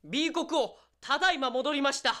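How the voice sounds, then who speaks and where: A woman's voice: high voice, moderate pace, bright, forceful tone. A woman playing an earnest, fervent envoy in a radio drama, speaking formally and theatrically. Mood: troubled reporting. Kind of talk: radio drama acting, formal diplomatic speech.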